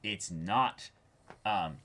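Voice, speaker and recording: A man co-hosting a reaction show, talking casually in an earnest, reflective way with halting, repeated words. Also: deep voice, a bit bright. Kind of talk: casual discussion of an anime episode.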